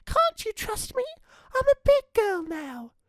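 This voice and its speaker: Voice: very high voice, sing-song, a little slow, dry recording, clean studio sound, pitched above the performer's own voice, full character voice. A storyteller voicing an eager, stubborn seven-year-old girl who insists she is grown up. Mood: pleading. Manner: whiny, insistent.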